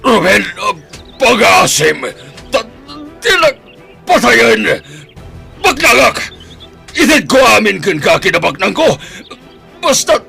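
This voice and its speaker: Gravelly, high-pitched panicked villain, Ilocano accent. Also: male voice, sing-song, slow pace, pauses often, sharp tone, faint music behind, exaggerated voice. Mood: panicked begging. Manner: wailing, gasping, melodramatic. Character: wounded rich male villain, panicked and pleading for his life. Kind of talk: Filipino radio drama acting.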